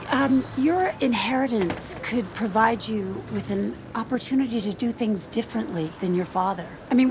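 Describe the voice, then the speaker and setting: An actress playing a polite, hesitant finance employee in a screen drama, speaking tentatively and placatingly. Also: female voice, fairly high pitch, close to an everyday voice, rough recording. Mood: tentative, eager. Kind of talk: naturalistic screen drama acting.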